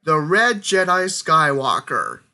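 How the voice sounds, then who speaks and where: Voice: medium pitch, sing-song, takes it slowly, very noisy. A synthetic text-to-speech voice playing a playful cartoon Jedi master.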